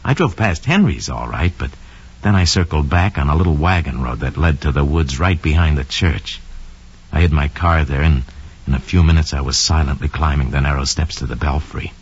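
An actor playing a smug, scheming young murderer who confesses coldly and boastfully in an old radio drama monologue. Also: male voice, extremely low pitch, expressive, normal speed, breathy edge, full character voice. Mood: smug, calculating. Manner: sly, cold, boastful, slangy. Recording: dull lo-fi old radio recording.

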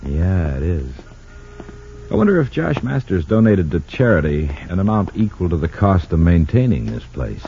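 A wry, easygoing reporter in a mid-century radio drama. His voice is husky and fairly low, with very animated intonation, on a dull old recording. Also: normal speed, slight background noise. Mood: wry, casual. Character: casual, inquisitive newspaperman, wry and easygoing. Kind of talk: 1940s-50s radio drama acting.

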